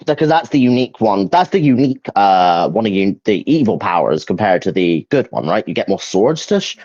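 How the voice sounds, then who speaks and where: Young man, very animated, lo-fi sound. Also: lowish voice, fairly quick. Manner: hesitant, searching for words, repeats himself. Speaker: video game ranking host. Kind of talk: casual opinion chat about video games. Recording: dull, lo-fi mic.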